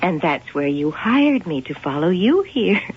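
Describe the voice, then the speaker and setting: A woman's voice: lowish voice, very animated, unhurried, slightly rough, heavily acted. Secretive young woman, muffled broadcast. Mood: guarded. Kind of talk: 1980 radio mystery drama acting. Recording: muffled, noisy 1980 radio broadcast.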